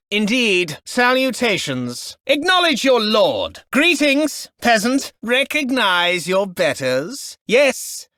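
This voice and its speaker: Shrill, pompous medieval lord. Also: male voice, pushed and bright, dry recording, studio quality, heavily acted. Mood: haughty condescension. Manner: pompous, imperious, barks orders and insults. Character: pompous, haughty male medieval lord, adult. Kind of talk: game voice lines.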